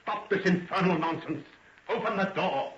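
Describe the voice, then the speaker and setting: A man's voice: high-pitched, moderate pace, noisy background, natural voice. An actor playing a righteous older father, defiant and outraged, in the declamatory, formal manner of old radio drama. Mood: alarmed defiance. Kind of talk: 1940s radio drama acting. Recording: muffled, echoey old radio recording.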